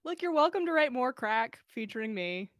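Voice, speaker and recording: A woman's voice: on the high side, sing-song, moderate pace, a bit bright, dry recording, clean studio sound. A woman hosting a livestream, chatting casually and reading fan fiction aloud in a bubbly, self-deprecating way. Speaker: podcast host and story reader.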